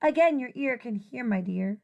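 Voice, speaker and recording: A woman teacher reading a children's rhyming picture book aloud in an expressive, deliberate, singsong way. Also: a little high, vocal fry.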